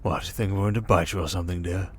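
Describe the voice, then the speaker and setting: A man playing a smug, manipulative criminal mastermind in an ASMR roleplay, calm and condescending, coaxing with endearments and soft breathy murmurs. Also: deep voice, rapid-fire. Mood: teasing, probing. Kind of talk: ASMR roleplay monologue, dramatic.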